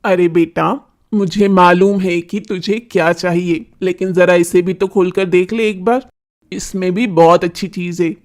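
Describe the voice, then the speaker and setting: Man acting a middle-aged dad, unhurried, gentle, indulgent, reassuring, cartoon story. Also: high voice, steady tone. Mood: affectionate. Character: indulgent, affectionate, easygoing middle-aged father.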